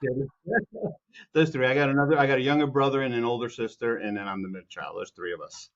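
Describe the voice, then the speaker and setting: A man's voice: mid-range voice, brisk, lo-fi sound. A man from Chicago, a composer guest, answering interview questions in a rambling way with many restarts.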